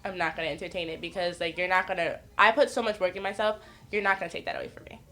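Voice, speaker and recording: A woman's voice: on the low side, fairly even intonation, racing, fairly bright tone. Candid, laughing young woman storyteller. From northeastern United States. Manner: frank storytelling, laughs often, acts out others' words. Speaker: podcast guest. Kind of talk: candid casual chat.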